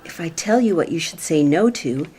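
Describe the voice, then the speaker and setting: Woman acting a low-voiced, warning secretary. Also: lively intonation, normal speed, mellow, slight background noise. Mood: wary, warning. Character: deadpan, ominously warning female office secretary. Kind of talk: sitcom-style radio play acting.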